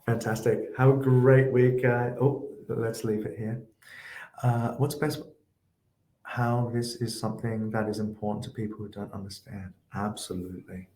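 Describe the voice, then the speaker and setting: Soft-spoken man, low mellow voice. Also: fairly even intonation, normal speed, echoey room, low-quality recording. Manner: gentle, reflective, with fillers. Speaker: workshop host. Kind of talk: online workshop Q&A.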